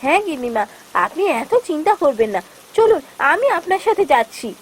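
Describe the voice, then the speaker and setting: An anxious village woman from a cartoon fairy tale, voiced by a woman, with an extremely high voice. She is earnest and pleading in her manner. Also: very animated, a little fast, slight background noise, poor audio.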